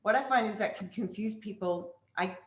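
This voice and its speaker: A woman voice coach explaining her methods in a casual interview, talking expressively and demonstrating high and low pitch. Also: moderate pace, reverberant. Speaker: voice coach guest.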